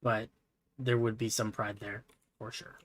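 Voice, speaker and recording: A man streaming from his computer, chatting casually in a soft, relaxing voice, rambling with lots of fillers and self-deprecating asides. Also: lowish voice, level tone, measured pace. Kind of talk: casual livestream chat while building.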